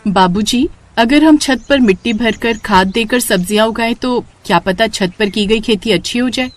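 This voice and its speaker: A woman's voice: on the high side, moderate pace, natural voice. A woman voicing a young city-bred daughter-in-law in a cartoon, earnest and outspoken, speaking politely and eagerly. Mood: hopeful, polite. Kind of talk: Hindi moral-story cartoon acting.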